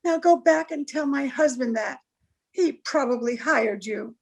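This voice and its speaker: Woman acting high-voiced, proud, anxious society wife. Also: measured pace, vocal fry, exaggerated voice. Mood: pained, confiding. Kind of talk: 1940s radio drama acting.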